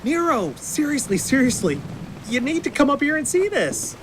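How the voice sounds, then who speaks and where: A lively young male character in an audio drama, teasing and nosy. His pitch swings freely, and waves and ship noise are clearly heard behind him. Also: moderate pace. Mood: excited. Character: cheeky, excitable, nosy young friend. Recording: noisy waves and ship ambience.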